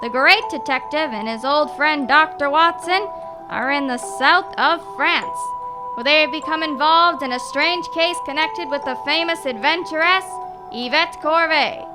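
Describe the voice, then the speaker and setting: High-voiced woman radio announcer over music. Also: a little slow. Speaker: announcer and narrator. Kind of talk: scripted old-time radio narration.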